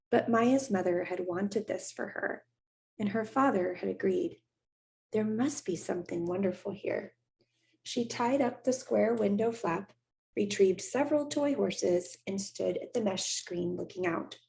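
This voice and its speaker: A woman teacher reading a children's novel aloud in a steady, unhurried voice. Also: mid-range voice, creaky, poor audio. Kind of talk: read-aloud storybook narration.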